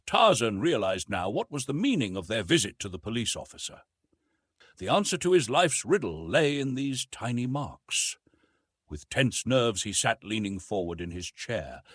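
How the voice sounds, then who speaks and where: A man's voice: lowish voice, fairly bright tone, slightly rough, no room sound, clean studio sound. A man narrating an adventure novel as an audiobook in a scripted storytelling style.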